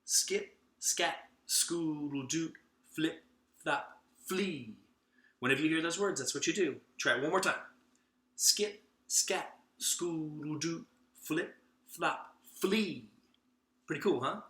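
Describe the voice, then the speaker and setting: A man's voice: a little high, slow pace, sharp tone. A man playfully and emphatically teaching a clapping activity to children, drawing out the words he demonstrates. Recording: echoey room.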